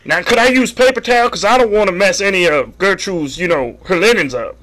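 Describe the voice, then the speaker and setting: A man's voice: sits high, fairly quick, crowd noise, natural voice. A man voicing a folksy, sheepish husband in a prank call, rambling earnestly over the phone. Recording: phone line audio.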